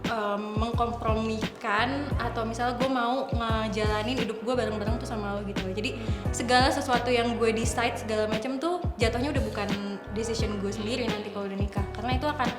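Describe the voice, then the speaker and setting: A woman's voice: on the high side, steady tone, fairly quick, few pauses. A woman in her twenties with an Indonesian accent, talking casually in a group discussion and mixing in English phrases. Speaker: panelist. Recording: roomy sound with music underneath. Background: background music.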